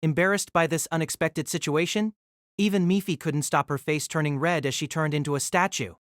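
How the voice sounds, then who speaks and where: A narrator telling a fan audio drama story in an expressive storytelling manner. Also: medium pitch, a little fast, dry recording, clean studio sound.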